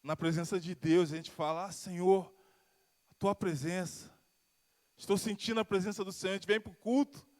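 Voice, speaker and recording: High-voiced Carioca pastor preaching in a reverberant hall. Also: male voice, fairly even intonation, normal speed, a little mellow. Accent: Carioca Brazilian Portuguese. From Rio de Janeiro, Brazil. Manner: swells and drops in pitch and volume, drawing out key words. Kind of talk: sermon with personal testimony. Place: church sanctuary.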